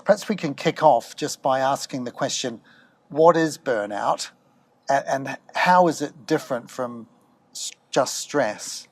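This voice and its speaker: A man's voice: medium pitch, a little slow, no echo. A man interviewing a guest, asking questions with small hesitant restarts.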